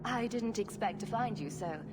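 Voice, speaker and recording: An actress in a video game playing a self-assured rogue hero with a dry, wry, sarcastic manner. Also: female voice, mid-range voice, expressive, moderate pace, very noisy, natural voice. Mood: dry, teasing.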